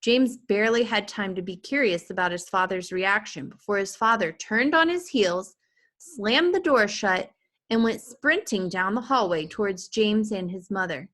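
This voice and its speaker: A woman reading a children's story aloud with expressive narration. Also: mid-range voice, normal speed. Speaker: teacher or reader reading a children's book aloud.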